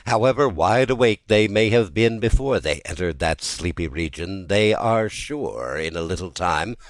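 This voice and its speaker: Very deep-voiced man narrating a classic tale. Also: lively intonation, no echo. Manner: measured, literary storytelling delivery.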